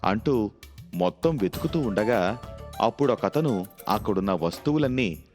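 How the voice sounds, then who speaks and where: A man's voice: lowish voice, expressive, normal speed, a little mellow, faint music behind. A man narrating a scripted moral story as a storybook narrator.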